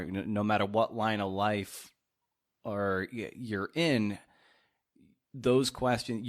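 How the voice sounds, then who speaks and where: A man with a fairly low voice hosts a podcast. He speaks in an encouraging, conversational way, pausing now and then as he goes. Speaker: podcast host. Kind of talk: conversational interview and podcast outro.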